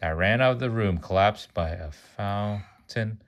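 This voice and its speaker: A man's voice: lowish voice, a little slow. A man reading a children's storybook aloud to a child, stumbling over words now and then, correcting himself and adding chatty asides.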